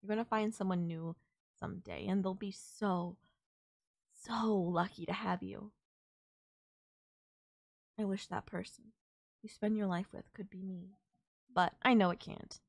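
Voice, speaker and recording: A woman's voice: medium pitch, steady tone, measured pace, gravelly, long pauses, no room sound, studio quality. A woman playing a tender, reassuring alien girlfriend in an intimate, bittersweet romantic audio roleplay. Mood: earnest, tender. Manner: soft-hearted, reassuring, gently teasing, addressing a lover. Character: tender alien girlfriend saying a sad goodbye.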